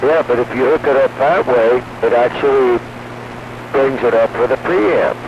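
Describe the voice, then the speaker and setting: A male radio hobbyist in casual technical conversation over a two-way radio. His mid-pitched voice comes through dull and lo-fi, with clear noise around it. He explains patiently but insistently, often slipping into anecdote. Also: normal speed. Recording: noisy band-limited two-way radio audio.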